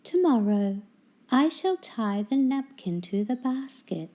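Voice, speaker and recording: A kindly, resourceful wife from a children's storybook, as a woman reader puts her on. Her voice is raised in pitch, coaxing and decisive. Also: animated, slow, warm tone. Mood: determined.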